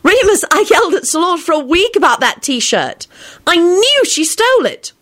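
An emotional young woman in an audiobook story, as a female narrator puts her on. Her voice jumps high and swoops widely, with a breathless, exclaiming manner. She is anxious and easily flustered. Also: very animated, normal speed, a bit bright, full character voice. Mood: astonished, delighted.

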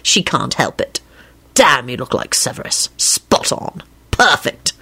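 A woman voicing a mischievous young man with a slow, solemn, self-satisfied and insolent way of talking. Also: a bass voice, big pitch swings, bright, forceful tone, breathy edge, pitched below the performer's own voice, heavily acted. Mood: smug.

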